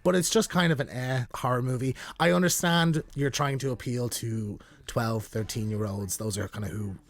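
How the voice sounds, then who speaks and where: A man's voice: medium pitch, normal speed, dry recording. A man co-hosting a horror movie review show, chatting informally and giving opinions in loose, slangy language. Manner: informal, slangy, with frequent "like" and mild swearing. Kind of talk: casual, opinionated film review chat.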